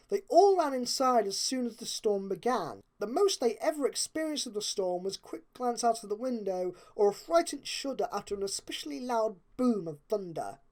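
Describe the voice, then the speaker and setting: A woman's voice: a little high, darkish tone. A woman narrating a story expressively, as if reading a storybook aloud.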